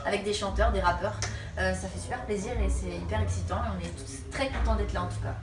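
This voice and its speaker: A young woman stand-up comedian chatting about her act in an interview, friendly and quick to laugh. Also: mid-range voice, steady tone, echoey room, distant mic, background noise. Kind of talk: casual interview answers.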